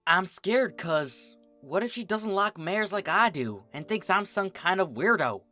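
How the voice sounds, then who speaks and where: A narrator voicing an anxious, humble female farm pony who speaks in a halting, folksy way, in a cartoon fan story. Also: mid-range voice, lively intonation, sharp tone, faint music behind, voice pushed up. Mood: scared.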